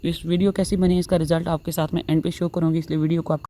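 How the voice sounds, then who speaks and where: A woman's voice: lowish voice, flat delivery, quick pace, mellow. A woman narrating a step-by-step tutorial, explaining instructionally and repeating herself. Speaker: tutorial host. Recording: faint background noise.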